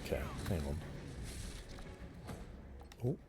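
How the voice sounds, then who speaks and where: A man giving relaxed, playful ASMR gameplay commentary, rambling a little hesitantly. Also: takes it slowly. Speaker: gaming video host. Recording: game noise behind the commentary. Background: fantasy video game sounds.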